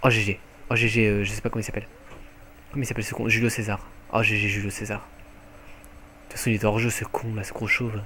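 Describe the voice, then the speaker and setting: A young man with a French accent giving live commentary on his own online match from home, excitable and jokey, swearing often. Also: on the low side, fast talker, a little hiss or hum. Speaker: gaming commentator. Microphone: headset mic.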